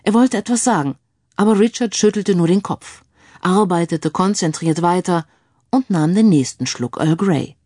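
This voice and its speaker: Low-voiced woman narrating a crime story. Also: normal speed, dark tone, no room sound, clean studio sound. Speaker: audiobook narrator.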